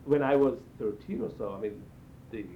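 An older man from Israel giving reflective interview answers, haltingly, repeating phrases as he searches for words. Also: very animated. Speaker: interviewee, cello teacher and performer. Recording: echoey, tinny band-limited sound with faint rumble.

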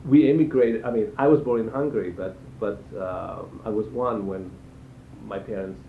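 An older man from Israel giving reflective interview answers, haltingly, repeating phrases as he searches for words. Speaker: interviewee, cello teacher and performer. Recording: echoey, tinny band-limited sound with faint rumble.

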